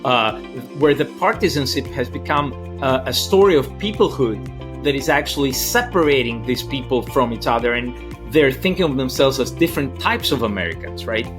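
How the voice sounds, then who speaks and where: Male academic interviewee, Greek accent, medium pitch, measured and thoughtful, often pausing mid-phrase. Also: expressive, music in the background. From Greece. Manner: hesitant, halting, searching for words. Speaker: interview guest, political science professor.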